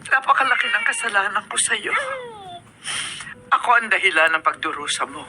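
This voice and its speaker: A woman's voice: mid-range voice, sing-song, moderate pace, background noise, natural voice. Woman acting an anxious, swooping old Filipino grandmother. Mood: anxious, remorseful. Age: older. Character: anxious, guilt-ridden, tender old grandmother. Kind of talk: Filipino radio drama acting.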